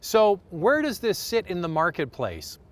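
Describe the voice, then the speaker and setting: A man's voice: high-pitched, huge pitch swings, a little slow. A middle-aged man presenting a car review in an enthusiastic, conversational way. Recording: clear vehicle and outdoor background noise.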